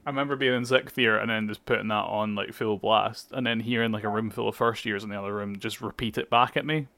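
A man's voice: on the low side, steady tone, fast, creaky, bright, forceful tone, dry recording. A young man co-hosting a podcast, chatting casually about music, swearing freely and explaining things at length. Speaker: podcast co-host. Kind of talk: casual chat.

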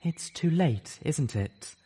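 A man voicing a fragile gentle unicorn mare. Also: a little high, animated, slightly rough, voice pushed up, heavily acted. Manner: soft, pleading, halting. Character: gentle young female unicorn, fragile and desperate.

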